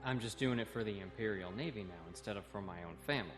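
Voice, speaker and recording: A man's voice: fairly low pitch, fast talker, lightly acted. A man playing a young naval cadet and translator in a sci-fi audio comic, plainspoken, dutiful and respectful but guarded. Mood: defensive, proud. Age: young adult. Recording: faint music behind the voice.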